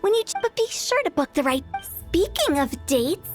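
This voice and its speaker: A woman's voice: extremely high pitch, big pitch swings, normal speed, faint music behind. A woman playing a young, quirky and mischievous funeral director in a video game, with theatrical, playful sales patter. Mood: teasing, salesy.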